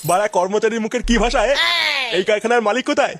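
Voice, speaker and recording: A man plays a demanding older boss in a cartoon. He gives orders self-importantly in a high, brisk male voice. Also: background noise, natural voice. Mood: indignant. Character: demanding male workshop owner, older, bossy. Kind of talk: crude comic cartoon dialogue.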